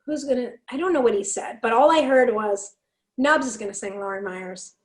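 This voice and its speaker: A woman from Canada with a raspy, fairly deep voice, telling stories in a casual chat and acting out the lines she quotes. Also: normal speed, echoey room. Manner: animated, acts out quotes, laughs often. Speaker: podcast guest, singer and speaker.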